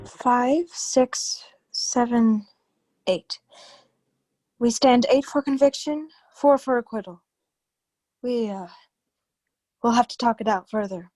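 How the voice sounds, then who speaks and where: A woman's voice: a little high, takes it slowly, pauses often, a little mellow, no echo, faint music behind, rough recording. A woman playing a self-righteous society lady serving as jury foreman in a radio play, formal and controlled. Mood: businesslike.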